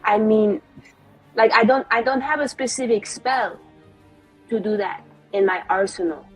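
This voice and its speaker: A woman's voice: mid-range voice, unhurried, husky, soft background music, poor audio. A woman chatting casually as a player in a tabletop roleplaying game.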